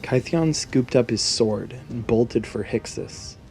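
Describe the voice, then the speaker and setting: A man's voice: mid-range voice, takes it slowly, vocal fry, darkish tone, low-quality recording. A man narrating a scripted fantasy story in a dramatic storytelling manner. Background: background music.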